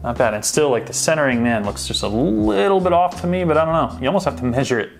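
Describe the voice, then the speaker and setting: A man's voice: on the high side, animated, fast talker, vocal fry, faint music behind. A man hosting a sports card pack opening, chatting casually and thinking aloud as he sorts through the cards. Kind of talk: casual unboxing commentary.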